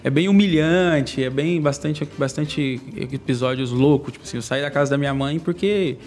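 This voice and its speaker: A young man from Brazil, a podcast guest, telling his life story in a fast, slangy, candid way. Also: fairly high pitch, a little mellow. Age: young adult. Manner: fast, slangy, candid, laughs between lines. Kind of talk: casual storytelling interview.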